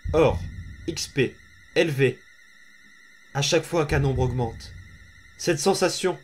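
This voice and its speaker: A man playing a menacing, power-hungry undead boy in an amateur comic dub, deliberate and condescending. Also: a little high, slight background noise, lightly acted. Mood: coldly triumphant. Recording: music behind.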